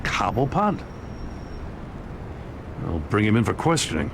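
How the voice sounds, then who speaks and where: A weary police commissioner. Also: male voice, fairly low pitch, sing-song, unhurried, creaky, heavily acted. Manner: weary, earnest. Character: weary middle-aged male police commissioner. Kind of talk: video game voice acting. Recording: game audio with background noise.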